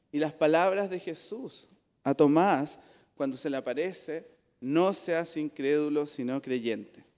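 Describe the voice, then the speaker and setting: A middle-aged man with a Chilean accent delivers a sermon from a pulpit microphone. His voice sits a little high for a man. He talks earnestly but conversationally and drops in asides. The sound carries a long church reverb. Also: a little mellow. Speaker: pastor.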